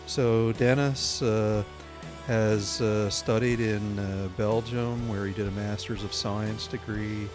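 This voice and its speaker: A fairly low-voiced man introduces his guest on a podcast. He talks hesitantly, filling his pauses with uhs, while faint guitar music plays behind him. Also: fairly even intonation. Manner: hesitant, with many filler pauses. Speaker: podcast host and course instructor.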